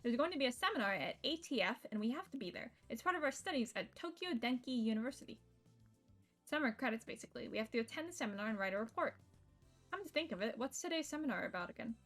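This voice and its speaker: Female streamer narrating a visual novel, higher register, reactive and conversational, game music behind her. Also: quick pace. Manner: expressive, reactive, slipping into asides. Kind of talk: live stream read-aloud of a visual novel with casual reactions.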